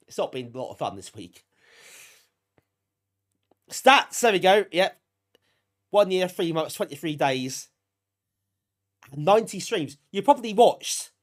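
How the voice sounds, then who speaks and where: A British man hosts a live stream in a fairly high voice with very animated intonation. He sounds bunged up with a cold. He chats casually with viewers in a self-deprecating, appreciative way. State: bunged up, getting over a cold. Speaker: live streamer hosting a retro gaming stream.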